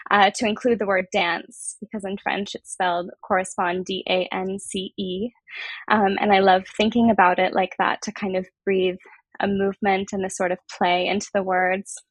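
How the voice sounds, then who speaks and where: Woman, guest, mid pitch, occasional minor stammer, chatty, dry recording. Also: no room sound, low-quality recording. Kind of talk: casual conversation.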